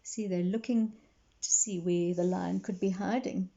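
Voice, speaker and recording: A woman reading a children's story expressively, pausing to explain and put questions to young listeners. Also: lowish voice, fairly even intonation, vocal fry, warm tone, dull audio. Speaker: read-aloud storyteller for children.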